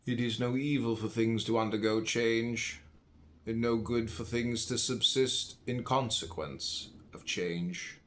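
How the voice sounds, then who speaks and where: A man with a mid-pitched voice reads philosophical prose aloud. His phrasing is deliberate and emphatic, set apart by pauses, in the manner of an audiobook narrator. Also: steady tone, fairly bright tone. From United States. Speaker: audiobook reader.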